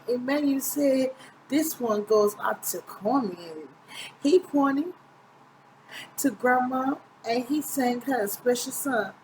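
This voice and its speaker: A woman's voice: high voice, slight background noise, poor audio. A middle-aged woman reads a children's picture book aloud informally, stumbling now and then and correcting herself. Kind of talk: storybook read-aloud narration. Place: small indoor room, seated close to the camera.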